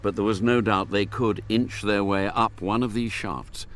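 A man narrating a thriller radio drama in a measured, descriptive manner. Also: fairly low pitch, fairly even intonation, fairly bright tone, lightly acted. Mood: measured suspense.

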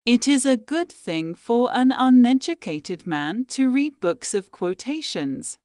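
A woman reads quotations in a slow, deliberate, measured way, pausing after each phrase. Her voice sits a bit high and moves up and down a lot. The sound is dry and close. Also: sing-song, warm tone, no room sound. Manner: measured, deliberate reading with pauses between phrases. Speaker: quote reader. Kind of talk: slow scripted quote reading.

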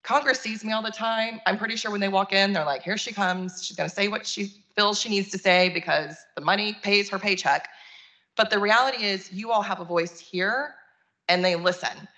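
A woman's voice: medium pitch, moderate pace, pushed and bright, low-quality recording. A woman giving a conversational, earnest keynote talk over a video call. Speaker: keynote speaker, nonprofit chief executive.

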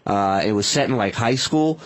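A man's voice: lowish voice, lively intonation, moderate pace, dry recording. A man on a radio panel, chatting casually and with enthusiasm. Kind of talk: casual, enthusiastic studio chat.

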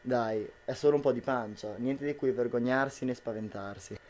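A man's voice: mid-range voice, normal speed, darkish tone, natural voice. A young man playing a worried, tender husband who earnestly reassures his partner in an amateur radio drama. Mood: reassuring, worried. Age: young adult.

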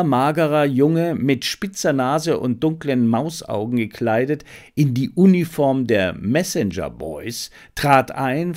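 A man's voice: medium pitch, darkish tone. A man reading a detective story aloud as scripted, dramatic audiobook narration.

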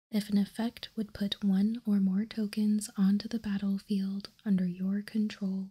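A woman gives whispered ASMR commentary in a breathy, husky voice. She explains calmly and softly, taking her time, close to the microphone. Her delivery stays very even. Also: medium pitch. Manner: soft, unhurried, calm explaining. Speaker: host of card-game ASMR channel.

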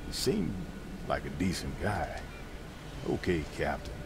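A man's voice: very deep voice, sing-song, measured pace, vocal fry, background noise, full character voice. An actor playing a guarded townsman in a video game, answering questions in a clipped, matter-of-fact way.

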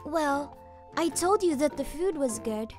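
High-pitched nonchalant young student played by a woman. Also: unhurried. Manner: flat, offhand. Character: nonchalant young female university student, guarded niece. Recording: music behind the voice.

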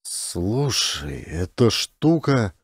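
A man's voice: on the low side, big pitch swings, drawn-out words, slightly rough, no echo, clean studio sound, full character voice. A man voicing an anxious, puzzled lieutenant in an audiobook, questioning with concern. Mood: bewildered. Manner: questioning, worried.

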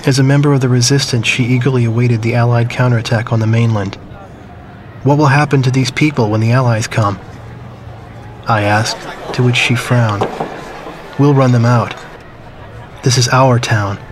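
An actor playing a wistful man recalling his wartime youth in measured, somber, reflective narration for a game. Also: medium pitch, steady tone, slight background noise, natural voice. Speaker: story narrator. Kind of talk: scripted reflective first-person narration.

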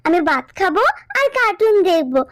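A performer voicing an excitable, whiny little girl fox cub in a cartoon, pleading and childlike. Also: extremely high pitch, brisk, no room sound, studio quality, exaggerated voice. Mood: delighted.

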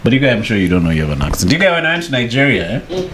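A man with a Kenyan accent hosting a casual podcast chat in a slangy, animated way, full of catchphrases. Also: medium pitch, racing. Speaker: podcast host. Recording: clear background noise.

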